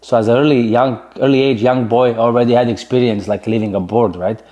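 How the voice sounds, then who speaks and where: A man's voice: medium pitch, steady tone, fairly quick, dark tone, highs cut off. A man in his forties with a Slovenian accent, telling his story in an interview in a chatty way, full of fillers and tag questions. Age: 40s. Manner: chatty, many fillers, keeps asking "right?". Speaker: interview guest, former soccer player.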